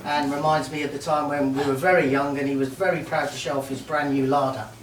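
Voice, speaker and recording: A man's voice: fairly high pitch, flat delivery. Middle-aged best man, roomy hall. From Merseyside, England. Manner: warm, joking, halting with many ums. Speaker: best man giving a wedding speech. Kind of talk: wedding speech. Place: wedding reception room. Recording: roomy hall, mic far off, faint noise.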